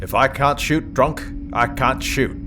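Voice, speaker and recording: An actor playing a laconic, hard-drinking gunslinger mercenary with a formal, old-fashioned manner in an audio drama. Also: male voice, medium pitch, close to an everyday voice. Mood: dry, courtly. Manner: slow, formal, old-fashioned gentlemanly talk. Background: music.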